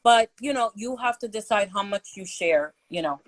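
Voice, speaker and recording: A woman's voice: mid-range voice, lively intonation, normal speed, no room sound. A woman co-hosting a podcast, talking in a laid-back, reassuring way with many fillers. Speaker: podcast co-host. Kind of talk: casual chat.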